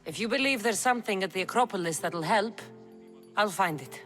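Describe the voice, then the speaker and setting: A woman's voice: mid-range voice, animated, a little fast, fairly bright tone, faint music behind, close to an everyday voice. Game casting: a woman playing a bold, direct warrior-mercenary, resolute in every line.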